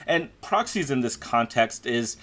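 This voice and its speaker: A man's voice: mid-range voice, big pitch swings, unhurried, fairly bright tone. A man giving a conversational, opinionated voice-over review as a video game critic.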